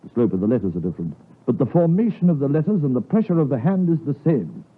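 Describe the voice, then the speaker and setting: Probing gentleman, husky voice, swooping intonation, emphatic radio drama acting, muffled vintage broadcast. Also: medium pitch, sing-song, fast. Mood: accusing, insistent. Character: probing gentleman friend of the doctor, shrewd and direct.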